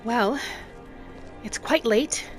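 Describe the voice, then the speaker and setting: A woman voicing a gentle, adventurous female wood elf traveller in a video game roleplay, musing softly aloud in character over music. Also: fairly high pitch, very slow. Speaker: video game streamer role-playing.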